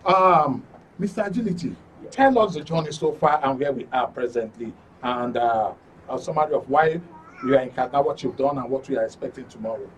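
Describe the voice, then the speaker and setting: A Nigerian man hosting a live studio panel show, introducing things haltingly and repeating phrases. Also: mid-range voice. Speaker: radio and TV programme host. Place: radio and TV studio. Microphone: studio microphone. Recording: faint noise, distant studio mic.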